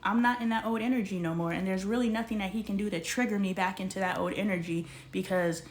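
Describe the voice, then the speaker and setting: A woman in her forties hosting a casual livestream, talking straight to her viewers in an animated, slangy way. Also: medium pitch, fast talker, a little hiss or hum. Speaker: livestream host.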